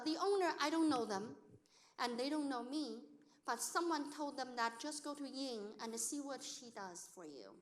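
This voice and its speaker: A middle-aged woman whose first language is Chinese, telling personal stories in a talk to an audience, acting out what people said in simple, non-native English. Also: on the high side. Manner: lively storyteller who acts out quoted speech, in non-native grammar. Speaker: accountant and business owner speaking to an audience.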